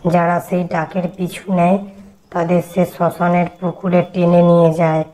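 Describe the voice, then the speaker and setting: Woman playing a mellow-voiced, worried old village grandmother. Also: lowish voice, flat delivery, moderate pace, close to an everyday voice, low-quality recording. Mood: grave, ominous. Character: worried old village grandmother, solemn teller of ghost tales.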